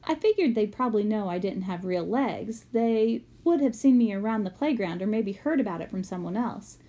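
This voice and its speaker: A woman's voice: medium pitch, expressive, normal speed, warm tone, muffled recording. A woman reading a first-person story aloud as scripted narration, in the manner of a book read to listeners.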